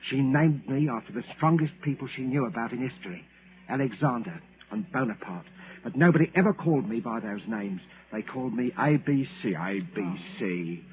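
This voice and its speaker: An actor playing a lonely, troubled, meek man in an old-time radio drama, agitated and pleading in a halting way. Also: medium pitch, animated, close to an everyday voice. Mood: troubled, confessing. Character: lonely, troubled, meek man who believes himself a murderer. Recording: tinny, noisy old radio recording.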